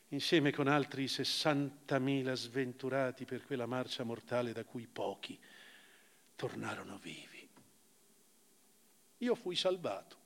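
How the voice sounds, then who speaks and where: A grave, reflective male survivor acted by a man recounts his memories on stage. His voice sits a little high and moves theatrically between emphatic swells and hushed phrases. Long hall reverb surrounds every word. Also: natural voice. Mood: sombre. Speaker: actor reading a memoir on stage. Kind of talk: dramatic literary stage reading, first-person narration.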